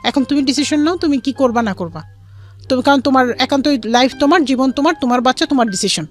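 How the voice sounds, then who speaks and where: A woman's voice: sits high, fast, faint music behind. A young woman with a Bangladeshi accent tells her life story in an interview, quoting conversations and mixing in English words.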